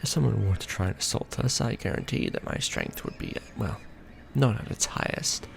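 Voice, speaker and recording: A man playing a centuries-old vampire lord in a romantic ASMR roleplay, proud and doting, speaking formally with theatrical, measured phrasing. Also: fairly low pitch, wildly swooping pitch, creaky, a little hiss or hum, heavily acted. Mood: proud, dignified.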